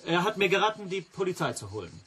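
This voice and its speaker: Radio play role: evasive hotel guest, highish husky voice, polite, hesitant, defensive. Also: male voice, sing-song, fast talker, pushed and bright, full character voice. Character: guarded, uneasy hotel guest hiding something, politely evasive.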